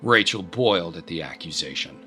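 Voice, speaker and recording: Low-voiced man narrating a grave drama. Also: lively intonation, a little fast, pushed and bright. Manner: grave, literary storytelling. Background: music bed.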